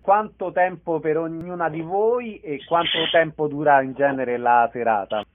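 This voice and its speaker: A man's voice: fairly high pitch, measured pace. A man conducting an interview, asking questions in a radio style. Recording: tinny phone-like narrow band.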